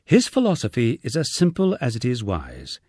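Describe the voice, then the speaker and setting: A man narrates a memoir in a lively, varied delivery with wry understatement. His voice sits fairly low and has a husky grain. The sound is dry and close, suited to an audiobook. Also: moderate pace, no room sound. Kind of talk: scripted first-person memoir narration.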